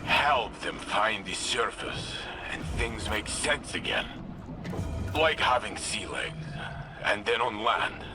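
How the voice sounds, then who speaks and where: A huge kindly robot warrior. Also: male voice, on the low side, lively intonation, takes it slowly. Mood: earnest. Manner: broken English, short blunt sentences. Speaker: tactical overseer. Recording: game cutscene with music.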